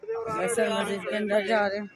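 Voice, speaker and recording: A woman's voice: medium pitch, sing-song, moderate pace. A woman giving a casual, chatty walking vlog, pointing out her surroundings, recorded on a handheld phone outdoors. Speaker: vlogger. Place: large busy open-air mosque courtyards and grounds. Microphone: handheld phone, speaker behind camera. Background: crowd of visitors.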